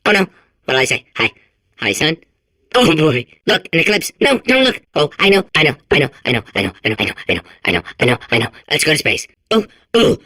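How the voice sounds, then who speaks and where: A man's voice: on the high side, very animated, a little fast, bright, forceful tone, no room sound, exaggerated voice. A man voicing a manic, childlike robot in a video game, stuttering and compulsively repeating words. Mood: frantic excitement. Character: manic, childlike male robot sphere obsessed with space. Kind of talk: video game character acting.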